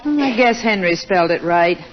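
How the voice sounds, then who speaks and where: Woman as a middle-aged mother, gentle and practical, lively sing-song pitch, dull old radio recording. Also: brisk, lightly acted. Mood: patient. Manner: gentle, practical, lightly amused. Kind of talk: 1940s radio acting.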